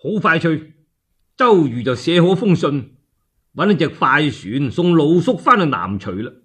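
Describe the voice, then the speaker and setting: A male Cantonese storyteller narrates in a colloquial, theatrical manner. His pitch swings freely and his voice is a little rough. It feels like a one-man radio drama. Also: sing-song, a little slow, a bit bright.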